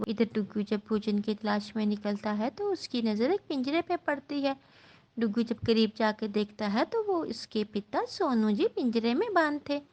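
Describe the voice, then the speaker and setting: A woman narrating a scripted children's story as the storyteller. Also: on the high side.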